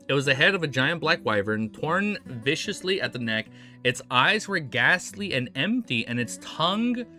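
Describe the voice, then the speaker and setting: A young man streaming a reaction, reading a novel aloud with excited, swearing outbursts, his voice a bit hoarse. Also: medium pitch.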